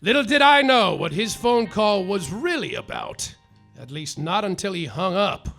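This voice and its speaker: An actor playing a bumbling, melodramatic private detective, narrating in a spoofed hardboiled style and bursting into shouted outbursts, on a live theater stage. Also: male voice, sits high, very animated, normal speed, creaky, faint music behind, full character voice. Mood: brooding. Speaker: actor in a live comedy audio play.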